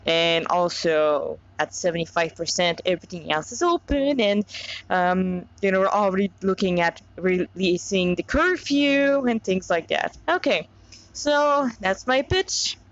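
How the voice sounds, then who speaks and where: Slow-paced woman, dull lo-fi recording. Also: lowish voice, lively intonation. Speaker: fan fiction author and reader. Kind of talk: casual chat and informal story reading.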